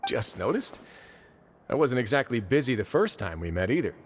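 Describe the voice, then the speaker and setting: An actor playing a composed, dryly wry nobleman in a video game, measured and understated, with quiet authority. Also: medium pitch, sing-song, slight background noise, natural voice. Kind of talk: scripted video game dialogue.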